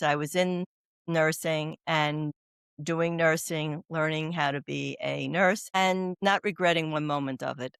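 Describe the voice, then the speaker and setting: A woman's voice: low-pitched, vocal fry, a bit bright, no room sound, clean studio sound. An older woman from New York with a Brooklyn accent, a chatty, self-deprecating guest telling stories in a casual interview. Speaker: guest, oncology doula.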